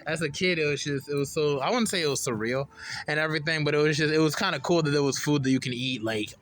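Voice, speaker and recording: A man's voice: a little high, fast, fairly bright tone, a little hiss or hum. A young man from Liberia hosting a podcast, easygoing and rambling in casual chat. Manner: easygoing, rambling, lots of fillers. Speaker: podcast host.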